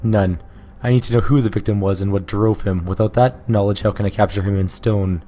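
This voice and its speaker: A hoarse man voicing a tormented male sculptor who is scornful and despairing. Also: lowish voice, fairly even intonation, fairly quick, warm tone, faint music behind, close to an everyday voice. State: hoarse from illness.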